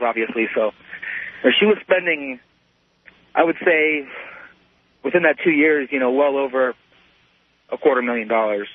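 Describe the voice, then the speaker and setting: A man in his thirties calling a radio show, telling a personal story in a halting, repetitive way full of "you know". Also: mid-range voice, no echo. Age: 30s. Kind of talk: unscripted personal account on a call-in show. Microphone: telephone. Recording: muffled lo-fi phone call to radio.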